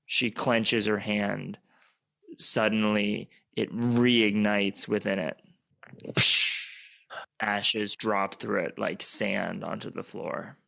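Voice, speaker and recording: A man's voice: on the low side, flat delivery, takes it slowly, gravelly, long pauses, pushed and bright. A man reading scripted stage action as narrator of a radio play.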